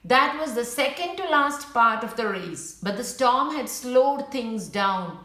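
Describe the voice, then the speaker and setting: A woman reading a children's storybook aloud in a simple, deliberate way, as if to young listeners. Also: a little high, lively intonation, bright, forceful tone, roomy sound. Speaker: children's book read-aloud narrator. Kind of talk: storybook read-aloud with brief asides to viewers.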